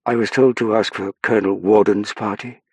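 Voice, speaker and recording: A man's voice: on the low side, steady tone, brisk, husky, no room sound, voice pushed up. A man voicing a confident young woman traveller in an audiobook, polite, poised and assured.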